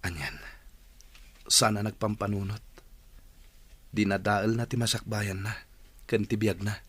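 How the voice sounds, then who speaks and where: A man's voice: on the low side, fairly even intonation, unhurried, fairly bright tone, breathy edge. A man acting a concerned, earnest friend in an Ilocano audio drama, speaking seriously.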